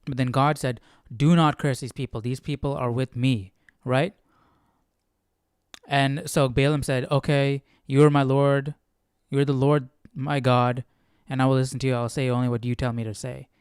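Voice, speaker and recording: Guy hosting a bible study, lowish smooth voice, thinking out loud casually, keeps asking questions. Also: flat delivery, normal speed, no room sound, studio quality. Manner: musing, often checks in with rhetorical questions. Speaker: host of a Bible study livestream. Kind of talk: casual conversational scripture commentary.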